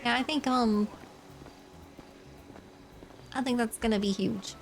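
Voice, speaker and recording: A woman's voice: fairly high pitch, takes it slowly, creaky, rough recording. A woman playing a female governor facing corruption charges, talking earnestly in live roleplay, with a hopeful, rambling manner. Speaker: game character.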